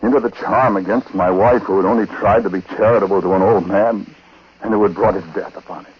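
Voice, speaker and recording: A man playing a haunted, condemned husband telling his story haltingly and dramatically in a 1940s radio drama. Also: lowish voice, fairly even intonation, slightly rough. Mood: haunted, tense. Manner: hushed, halting, dramatic first-person telling. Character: haunted condemned husband recounting his wife's uncanny death. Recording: noisy, muffled 1940s radio broadcast.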